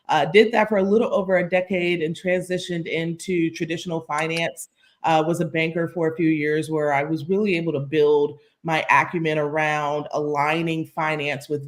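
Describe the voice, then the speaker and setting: A woman speaking as a panelist, introducing her professional background. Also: deep voice. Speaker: panelist, climate finance leader.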